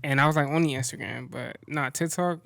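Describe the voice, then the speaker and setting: A young man from Central Florida talking as a guest in a casual chat, relaxed and slangy, laughing easily. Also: a little high, fairly quick, gravelly, dry recording, studio quality. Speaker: guest content creator.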